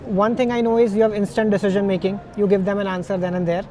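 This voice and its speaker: Male interviewer, distinctly high register. Conversational, affirming, recaps as he goes, with faint outdoor noise in the background. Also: level tone, quick pace, warm tone.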